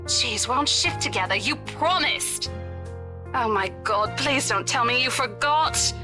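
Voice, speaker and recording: A woman's voice: on the high side, lively intonation, a little slow. A woman voicing a breezy young realtor in a horror visual novel, who teases and brushes off her friend's worries. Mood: teasing. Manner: teasing and impatient, brushing off worries. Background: game music.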